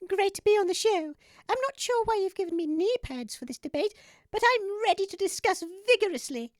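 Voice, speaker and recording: A woman performs a prim, argumentative scientist in a comedy audio debate. Her voice is extremely high, and the recording is close and dry. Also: lively intonation, fairly quick, no echo. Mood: polite, hesitant. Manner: dismissive, combative. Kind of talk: comedy audio sketch acting.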